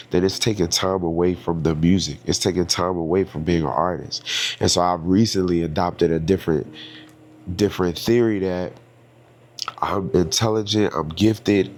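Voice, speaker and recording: A man with a deep, low-pitched voice and a stuffy nose talks to his listeners. He rambles in a reflective, casual podcast monologue full of fillers, with faint noise behind him. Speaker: podcast host and singer.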